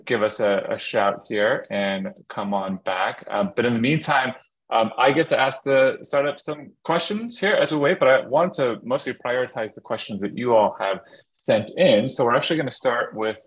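Online panel host, thirties male, lowish pitch, stop-start phrasing. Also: breathy edge, poor audio. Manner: halting, filler-heavy. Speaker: event moderator. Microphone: webcam on video call.

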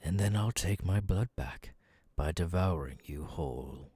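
Guy doing a cold bossy demon lord for asmr roleplay, real slow n low, breathy murmuring, kinda menacing. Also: warm tone, no echo, clean studio sound. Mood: menacing, domineering. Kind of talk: ASMR audio roleplay monologue.

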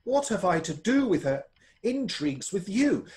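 A man acting a proud, indignant gentleman in a radio play, stern and formal. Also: sits high, very animated, moderate pace. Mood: outraged. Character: outraged, proud gentleman, Sir Robert Chiltern.

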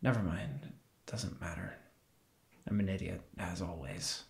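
A man's voice: deep voice, fairly even intonation, measured pace, gravelly, darkish tone. A man playing an earnest, defensive and naively trusting young man in a naturalistic audio drama. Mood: dejected. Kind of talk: naturalistic audio fiction podcast acting.

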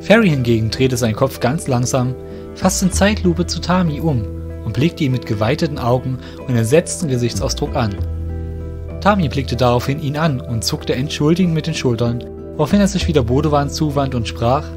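A man's voice: mid-range voice, moderate pace. A man reading scripted fantasy narration as the narrator of an audio drama. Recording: music behind the voice.

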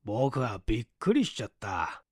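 A man voicing a composed, quick-witted young son in an audiobook story, chatty and breezy. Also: medium pitch, very animated, darkish tone, slightly rough, no room sound, clean studio sound, voice pushed down. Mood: casual bluffing.

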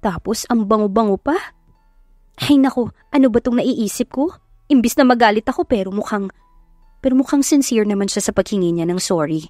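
A woman voicing a feisty young eldest sister in an audio drama, sassy, grumbling and expressive. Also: medium pitch, fairly quick, no room sound, studio quality, faint music behind, lightly acted. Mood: grumbling, smitten.

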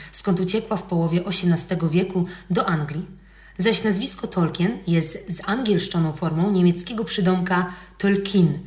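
A woman reading a scripted educational history narration in a measured, articulate storytelling manner. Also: fairly low pitch, reverberant. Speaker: podcast narrator.

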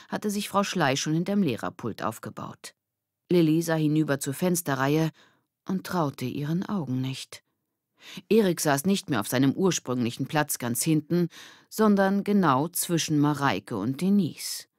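A woman narrating a children's audiobook in a clear, measured, expressive storybook style. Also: deep voice, dry recording, clean studio sound.

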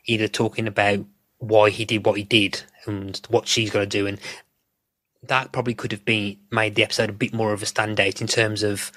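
Male podcast guest, lowish voice in a dry close recording, thoughtful and analytical. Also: little pitch movement, brisk, sharp tone, no echo. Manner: thoughtful, circling explanations. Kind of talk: casual analytical discussion.

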